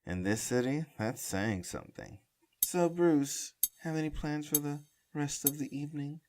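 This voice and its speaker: A man's voice: on the high side, normal speed, a little mellow, a little hiss or hum, close to an everyday voice. A man voicing a sly, flirtatious society woman with a wry, teasing manner in a comic book drama.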